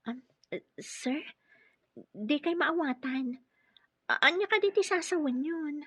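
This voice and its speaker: A woman's voice: high voice, expressive, slow pace, no echo. A woman playing a shy, modest young office clerk being courted in an Ilocano radio drama, with an Ilocano accent. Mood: flustered, modest.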